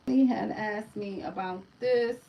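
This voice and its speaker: A woman's voice: mid-range voice, slow pace, vocal fry, distant mic, dull audio. A woman giving a casual livestream oracle card reading, chatty, often tagging her sentences with a question and addressing her listeners as family. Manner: chatty, keeps asking "right?" and calls listeners "family".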